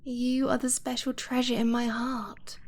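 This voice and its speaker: A woman playing an affectionate young dragonblooded girlfriend in an intimate ASMR romance roleplay, speaking in a breathy, hushed way with hesitant pauses. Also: a little high, little pitch movement. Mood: tender, adoring.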